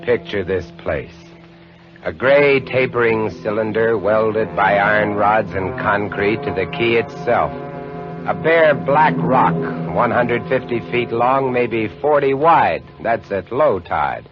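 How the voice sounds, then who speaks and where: Dramatic lighthouse-keeper narrator, old broadcast sound, score underneath. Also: male voice, on the low side, lively intonation, takes it slowly. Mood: tense. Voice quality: dramatic, vivid storytelling. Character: lighthouse keeper recounting a horror, male, adult. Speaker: narrator and lead actor. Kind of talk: 1950 radio drama narration. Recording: dull old radio broadcast with music.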